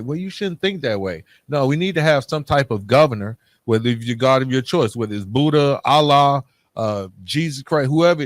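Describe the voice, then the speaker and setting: A man's voice: medium pitch, no room sound. A man hosting a podcast in an earnest, informal conversational way, peppering his talk with casual asides. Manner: earnest, informal, often saying "man" and "you know". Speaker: podcast host.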